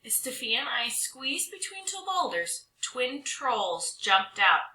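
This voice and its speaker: A woman reading a children's storybook aloud with expressive, dramatic narration, stretching some words and hushing others. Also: sits high, slow pace. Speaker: storybook reader. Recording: roomy echo.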